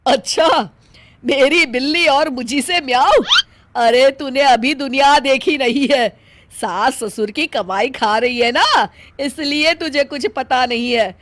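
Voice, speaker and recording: High-voiced, scolding older mother-in-law, a woman acting. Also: takes it slowly, a bit bright, slight background noise. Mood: angry scolding. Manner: combative, sarcastic, theatrical. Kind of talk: animated comic moral story acting.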